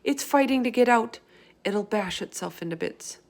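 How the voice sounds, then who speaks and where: A woman's voice: a little high, lively intonation, moderate pace, darkish tone, voice pushed up. A woman voicing a curious young girl who asks questions in a children's book read-aloud. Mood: amazed.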